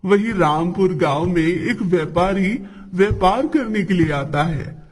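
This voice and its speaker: A man's voice: sits high, measured pace. A man reading scripted narration for a cartoon moral story.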